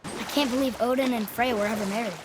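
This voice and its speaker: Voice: medium pitch, fairly even intonation, fast, natural voice. A performer playing an earnest, curious young boy in a video game, casual and questioning. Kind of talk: video game character acting. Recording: clear ambient noise behind.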